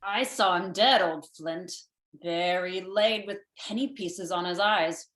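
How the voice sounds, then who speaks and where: A woman playing an awed, excitable pirate crew member in a radio play, speaking in sea dialect. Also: on the low side, slow pace. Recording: roomy, echoing home recording.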